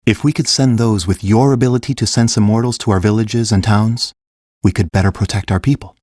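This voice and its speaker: A man playing a kind, grave fantasy king in a full-cast audiobook, speaking in a gentle, measured, earnest way. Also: on the low side, fairly even intonation, no room sound, clean studio sound, lightly acted.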